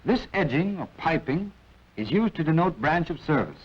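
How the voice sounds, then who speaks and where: A man's voice: on the high side, sing-song, moderate pace, breathy edge. A man with an American accent narrating a 1940s military training film, instructing in a clipped way with wry asides. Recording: dull, band-limited vintage film soundtrack.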